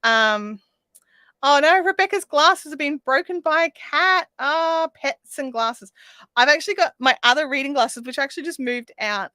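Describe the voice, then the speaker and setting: A woman hosting a craft livestream, chatting casually and reading out viewer comments while she crochets, her voice slightly raspy. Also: high-pitched, moderate pace, pushed and bright, dry recording. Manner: chatty, reads viewer comments aloud, counts stitches under her breath. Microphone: desk microphone.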